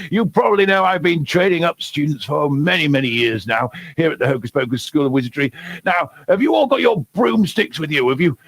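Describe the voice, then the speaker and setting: A man voicing an ancient, excitable male wizard headmaster who hosts a children's story time, giggling and greeting viewers by name. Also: high voice, gravelly, slightly rough, heavily acted.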